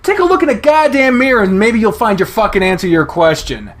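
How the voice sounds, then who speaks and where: A man voicing a flustered, indignant young man who yells in shrill exasperation. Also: sing-song, fast talker, heavily acted. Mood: outraged.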